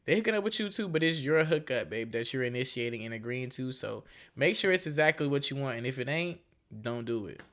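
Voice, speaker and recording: A woman's voice: rumbling low, lively intonation, brisk, a bit bright. Young woman, twenties, solo podcast host: casual, profane, slangy and rambling. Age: 20s. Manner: slangy, frank and profane, with frequent "you feel me" asides; loose and rambling, with restarts.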